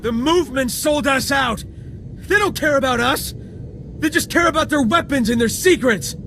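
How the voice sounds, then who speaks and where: An actor playing a desperate, sick villager and devoted husband in a video game, emotional and faltering. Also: male voice, extremely high pitch, normal speed, gravelly, fairly bright tone, a little hiss or hum, exaggerated voice, poor audio. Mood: angry, anguished. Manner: pleading, stammering, emotional. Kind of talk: video game dialogue acting.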